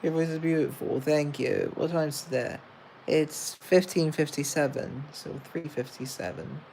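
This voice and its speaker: A man's voice: fairly high pitch, a little slow, gravelly, a little mellow, a little hiss or hum. A man chatting casually on a livestream, reading comments aloud and answering them with self-mocking humor. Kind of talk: casual live chat.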